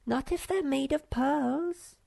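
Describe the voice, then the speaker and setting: A vain, sweet young creature girl who talks high and breezy, as a woman reader puts her on for a storybook. The voice sits far above the reader's own, recorded dry and close. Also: slow, vocal fry, dark tone, no room sound, exaggerated voice. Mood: eager. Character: vain sweet young female Snork Maiden. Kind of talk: audiobook character voice.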